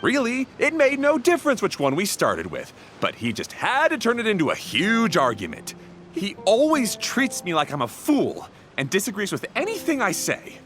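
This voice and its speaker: An animated, earnest young repairman. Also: sits high, moderate pace, soft background music, exaggerated voice. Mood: exasperated. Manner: explanatory, grumbling. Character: young male railway repairer, earnest, aggrieved by his brother. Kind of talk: video game voice acting.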